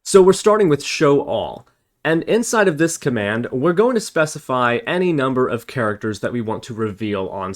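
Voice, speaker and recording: A man with a medium-pitched voice leads an informal programming tutorial. He talks through his work step by step, thinking aloud as an instructor does while demonstrating on screen. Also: slight background noise. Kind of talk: informal screen-recorded coding tutorial narration.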